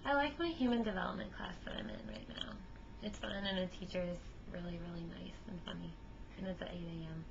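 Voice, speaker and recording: A young woman from Virginia, a college athlete, answering in a relaxed, easygoing way. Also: on the low side, brisk, gravelly. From Virginia, USA. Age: young adult. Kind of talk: casual self-introduction. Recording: muffled lo-fi camcorder with background noise.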